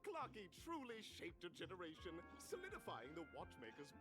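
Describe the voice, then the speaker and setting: Over the top game show host, high voice swooping everywhere, super dramatic, loud music behind. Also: male voice, huge pitch swings. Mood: grandiose storytelling. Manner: showy, dramatic, drawn-out emphasis. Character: exuberant theatrical male game show announcer. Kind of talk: bombastic game show hosting.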